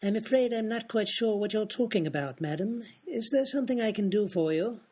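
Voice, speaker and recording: Man playing a stuffy lord, husky voice, formal and prim, radio comedy. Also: high voice, expressive, fairly quick, darkish tone. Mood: haughty. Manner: formal, stiff and polite. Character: haughty aristocratic male lord, prim and formal. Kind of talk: comic radio play acting.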